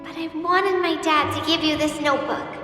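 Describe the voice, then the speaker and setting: A woman's voice: high voice, steady tone, normal speed, close to an everyday voice. A woman voicing an earnest, hopeful little girl, a bashful young fan, in a video game. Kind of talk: video game voice acting, reading a letter aloud. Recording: long echoing reverb, music behind. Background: clear music.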